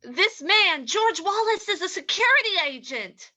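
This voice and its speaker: A woman's voice: extremely high pitch, very animated, measured pace, bright, forceful tone, full character voice. Woman acting a sultry, scheming radio-play femme fatale. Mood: urgent. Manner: flirtatious, mocking. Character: sultry, scheming, ruthless female poisoner in a crime radio play. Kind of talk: old-time radio drama acting.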